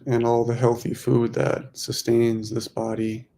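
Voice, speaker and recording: A man leading a guided meditation in a soothing, unhurried manner, speaking in short phrases with long pauses. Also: mid-range voice, completely flat delivery, vocal fry, dark tone. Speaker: meditation guide, host of a weekly group.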